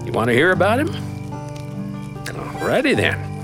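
An actor playing a weathered Western storyteller narrating by a campfire in a radio drama, with a drawling, folksy manner. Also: male voice, on the high side, huge pitch swings, normal speed. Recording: music behind the voice.

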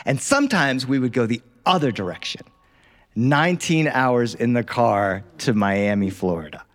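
A man's voice: medium pitch, animated, moderate pace. A middle-aged man telling childhood stories live, conversational and engaging, often putting questions to his listeners. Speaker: storyteller. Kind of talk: live storytelling of childhood memories to an audience. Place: recording studio in front of live listeners.

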